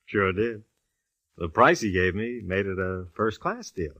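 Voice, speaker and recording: A man's voice: on the low side, animated, slow pace, fairly bright tone, slightly rough, no echo, lo-fi sound, exaggerated voice. An American man acting a smitten, teasing police captain in a 1940s radio drama. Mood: teasing, coy. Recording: vintage radio broadcast recording.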